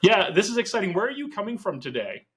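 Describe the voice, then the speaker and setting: A man's voice: high voice, very animated, fast, a bit bright. A man hosting a podcast interview, introducing his guest and asking questions in an upbeat, enthusiastic way. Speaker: podcast host.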